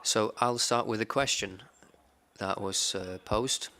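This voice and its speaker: A man interpreting a conference panel into English, in an even, measured delivery with small fillers. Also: fairly low pitch. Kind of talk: simultaneous interpretation.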